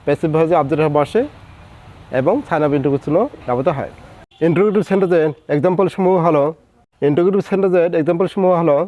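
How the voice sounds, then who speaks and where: A Bangladeshi man with a slightly high voice teaches English grammar in a methodical, scripted way. He slows down on the English example words. The recording is very dry, with a somewhat dull, lo-fi sound. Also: dry recording. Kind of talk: scripted grammar lesson narration.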